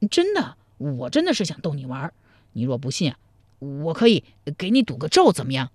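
A woman's voice: low-pitched, very animated, brisk, a little mellow, breathy edge, no echo, clean studio sound, pitched below the performer's own voice, exaggerated voice. A woman voicing a sly, teasing young suitor in a storybook, wheedling and mock-meek. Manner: teasing, wheedling, laid-back. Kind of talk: dramatized storybook dialogue.

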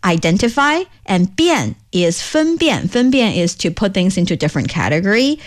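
A woman whose first language is Chinese, hosting a radio language show and explaining words in a lively, chatty way from the studio. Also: mid-range voice, moderate pace. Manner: lively, explanatory, lots of asides. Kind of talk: casual studio chat teaching Chinese.

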